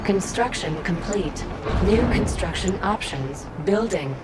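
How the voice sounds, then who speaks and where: A woman voicing a calm battle computer in a strategy game, giving clipped, measured status announcements. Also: mid-range voice, a loud crowd, lightly acted, poor audio. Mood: impersonal, calm. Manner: measured, impersonal. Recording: loud game music underneath. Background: loud music and game battle sounds.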